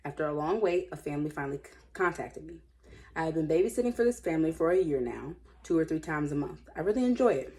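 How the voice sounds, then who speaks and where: A woman reading aloud and commenting casually, with an informal, opinionated, slangy way of musing out loud. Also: low-pitched, animated, quick pace. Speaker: host reading and commenting on online stories.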